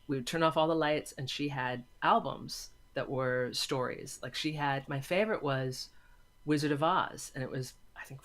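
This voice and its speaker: A woman chatting casually as an interview guest, enthusiastic and emphatic, often repeating words for stress. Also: a bass voice. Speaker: interview guest, audio drama maker.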